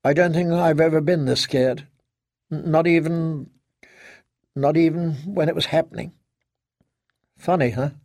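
A man voicing a frightened, fragile grown daughter in an audiobook, halting and stammering as she pleads like a child. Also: a little high, fairly even intonation, a little mellow, no echo, clean studio sound, voice pushed up. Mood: scared, pleading. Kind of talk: dramatic audiobook character reading.

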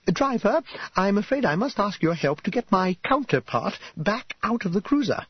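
A fussy male robot from an audiobook. He is prim, polite and apologetic, speaking high above a normal register on a dry, slightly dull recording. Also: sing-song, normal speed, slightly rough, no echo, exaggerated voice. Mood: polite, worried. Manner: prissy, apologetic, formal. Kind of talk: audiobook character voice.

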